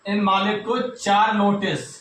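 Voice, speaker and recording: A man's voice: high voice, little pitch movement, takes it slowly, fairly bright tone. A man with a North Indian accent gives an informal explanatory update to students, repeating his points and addressing listeners directly. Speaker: YouTube exam news presenter. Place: reverberant indoor room. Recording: very echoey room, distant mic, faint noise.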